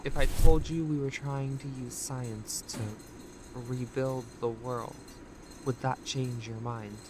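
An actress playing a calmly manipulative female recruiter in an audio drama, with a measured, condescending delivery. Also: very deep voice, over music. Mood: coaxing.

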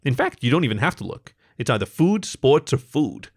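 A man's voice: mid-range voice, sing-song, normal speed, pitched above the performer's own voice. A man voicing a nervous, lovestruck dog comedian from a story, with a self-deprecating, stammering, joking delivery. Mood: performing a joke.

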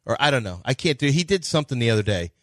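A man's voice: mid-range voice, sing-song, very fast, a bit bright, no room sound, studio quality. A man hosting a sports talk radio show, rambling and joking, often restarting his sentences.

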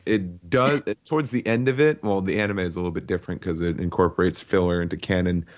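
Deep-voiced dryly witty man. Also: quick pace, highs cut off. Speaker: podcast co-host. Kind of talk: casual podcast chat.

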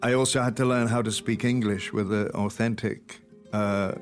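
A man's voice: lowish voice, steady tone, normal speed, faint music behind. A man with a Welsh accent, an actor talking easily in an interview, telling stories with a wry humour. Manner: easygoing, wry storyteller. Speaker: actor guest. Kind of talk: relaxed interview chat.

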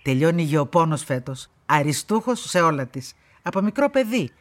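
A woman's voice: low-pitched, sing-song. An older woman playing a stern, proud grandmother in a radio play, speaking in an emphatic, brusque and opinionated way. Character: stern, proud old grandmother who dotes on her granddaughter.

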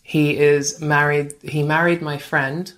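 A woman from Australia, a writer and podcast guest, telling anecdotes in a chatty, animated way. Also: low voice, slow pace. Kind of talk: casual anecdotal storytelling.

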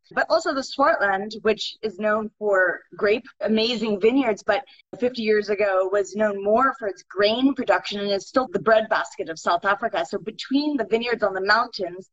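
A woman's voice: mid-range voice, a bit bright. A woman from California with a Californian accent, a winemaker telling her story fluently in an interview. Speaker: guest winemaker. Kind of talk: interview answers. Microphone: video call. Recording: dull lo-fi video call audio.

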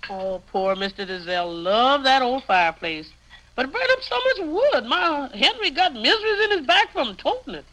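An actress playing a hospitable, chatty American housekeeper with homely speech in an old radio drama. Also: female voice, high voice, sing-song, normal speed, pushed and bright, full character voice. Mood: chatty, obliging. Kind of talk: 1950 radio acting. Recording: noisy old 1950 radio recording.

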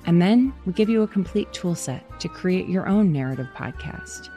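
A woman in her forties, hosting a podcast and narrating a personal story in a calm, reflective way. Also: fairly low pitch, normal speed, faint music behind. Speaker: podcast host.

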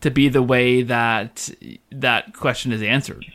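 A man's voice: mid-range voice, steady tone, measured pace, a bit bright. A man hosting a podcast, chatting casually with his guest in an interview. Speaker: podcast host.

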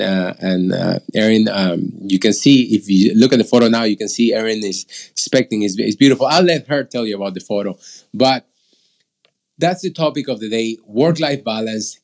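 A man's voice: medium pitch, darkish tone. A middle-aged man from Peru hosting an online faith discussion, reflective and repeating words as he thinks. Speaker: host.

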